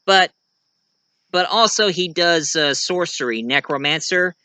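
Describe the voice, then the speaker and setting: Bright-voiced man with halting delivery. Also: on the high side, smooth, no room sound. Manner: halting, searching for words. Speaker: video reviewer and host of the channel. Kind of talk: casual talk to viewers about own writing.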